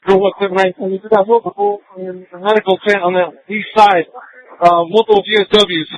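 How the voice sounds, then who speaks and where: A man's voice: high voice, little pitch movement, brisk, dry recording. A male police officer giving urgent reports over a police radio. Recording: muffled lo-fi police scanner radio.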